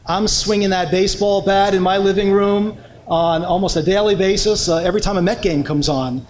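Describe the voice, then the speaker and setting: A man's voice: on the high side, a little hiss or hum. Man giving a formal awards introduction. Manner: reads prepared bios with frequent "uh" fillers. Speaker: awards ceremony presenter introducing honorees.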